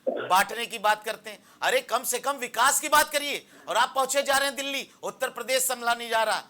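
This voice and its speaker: A male political panelist in a heated televised debate. His voice is very high and piercingly sharp, and he is combative, hammering the same rhetorical questions over and over. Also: a little fast.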